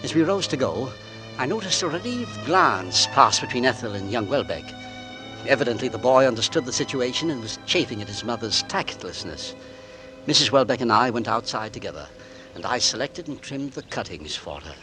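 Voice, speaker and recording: An actor playing a mild, polite homeowner growing quietly suspicious, narrating and talking in measured 1940s radio style. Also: male voice, mid-range voice, big pitch swings, music in the background, natural voice, low-quality recording. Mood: polite, observant. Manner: courteous, measured, storytelling delivery. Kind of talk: 1940s radio acting, first-person narration and dialogue. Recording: vintage radio drama with musical score.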